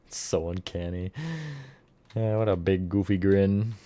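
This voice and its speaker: Man, live streamer, casual chat, slightly low voice, relaxed, talks to viewers. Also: takes it slowly, no room sound. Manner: relaxed, chatty, answers chat, counts blocks aloud.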